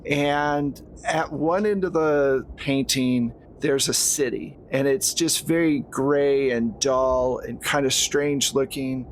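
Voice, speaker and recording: A man's voice: medium pitch, slow, hardly any pauses. Guy running a spooky tabletop rpg, narrates casually w/ little asides, noisy background. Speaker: game master. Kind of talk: narrating a horror tabletop roleplaying game. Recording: clear background noise.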